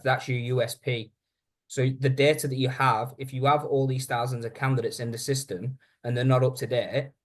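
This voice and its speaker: A man presenting a software training webinar in a conversational, informal way, speaking directly to his listeners. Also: medium pitch.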